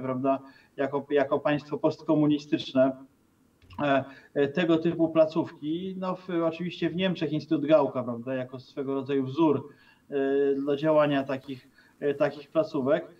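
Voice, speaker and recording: A middle-aged man speaking as a commentator over a video call, in a fairly high voice for a man. He hesitates often, restarts his phrases and keeps tagging questions onto his points. The sound is distant and dull. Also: a little mellow. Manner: hesitant, many fillers and restarts, tag questions. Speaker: political commentator guest. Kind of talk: remote TV interview commentary. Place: room with bookshelves.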